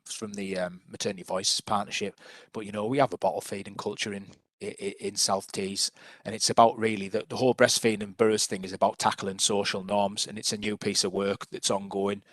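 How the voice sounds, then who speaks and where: A man's voice: fairly low pitch, flat delivery, a little fast, fairly bright tone, dry recording. A man presenting public health work to a council panel over a video call, hesitant, with many restarts.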